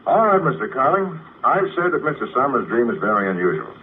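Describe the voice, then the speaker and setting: An actor playing a confident sanitarium psychiatrist who explains things in a measured, self-assured way, in 1950s radio drama style. It is heard on a tinny 1950 radio broadcast with faint hiss. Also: male voice, mid-range voice, very animated, close to an everyday voice. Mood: calm explaining. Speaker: doctor.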